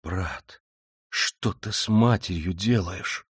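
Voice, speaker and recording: A man's voice: sits low, slow pace, breathy edge, dry recording, studio quality, heavily acted. Man voicing an indignant, reproachful young sister. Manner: reproachful, cutting.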